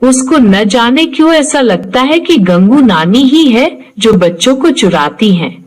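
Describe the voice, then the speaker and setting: A woman's voice: fairly high pitch, sing-song, measured pace. A woman narrator reading a scripted horror story in a storytelling style.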